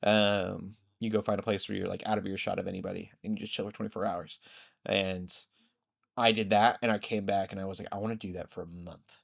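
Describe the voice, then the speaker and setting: A man hosting a podcast, telling rambling, animated stories in casual conversation. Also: deep voice, a little fast, vocal fry. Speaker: podcast host.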